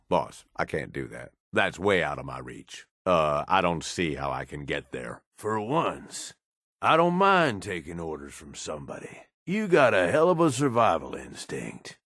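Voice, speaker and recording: Video game raider henchman: hard-bitten, cynical, blunt. Low, very animated delivery, recorded close and dry. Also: dry recording, heavily acted. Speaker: game companion character.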